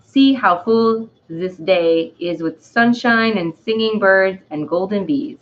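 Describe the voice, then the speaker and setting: Casting: a woman as a theatrical French-accented dandelion spirit, storybook style, exuberant and self-loving, unhurried, on a slightly dull recording. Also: mid-range voice, lightly acted. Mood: delighted. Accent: put-on French. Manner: theatrical, halting, often tagging phrases with "eh". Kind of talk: dramatic storybook-style reading.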